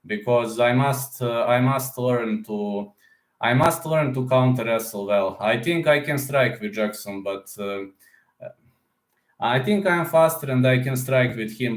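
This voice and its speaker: A man's voice: medium pitch, unhurried. A man chatting casually on a live stream, rambling and often repeating words as he restarts sentences. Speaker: MMA fighter and betting-picks streamer. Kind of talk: casual live-stream chat reading comments. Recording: echoey room.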